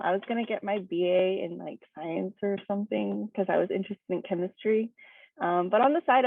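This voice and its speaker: A young woman from Hawaii speaking as a guest presenter, telling her story in a relaxed, chatty way full of fillers and little laughs. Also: mid-range voice, no room sound. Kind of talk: casual personal talk. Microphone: video call. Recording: dry tinny video call audio.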